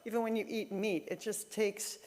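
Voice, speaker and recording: A woman leading a church service, speaking informally and a little haltingly to the congregation. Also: medium pitch, fairly even intonation, a little mellow. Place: church sanctuary, indoors. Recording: echoey hall with long reverb.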